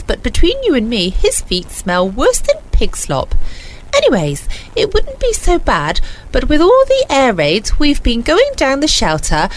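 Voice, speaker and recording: Radio comedy: actress as down-to-earth wife, confiding, grumbling, highish and very animated. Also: female voice, slight background noise, exaggerated voice, low-quality recording. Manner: chatty, confiding, complaining. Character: down-to-earth working-class wife, fondly exasperated. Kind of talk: reading a comic letter in radio comedy.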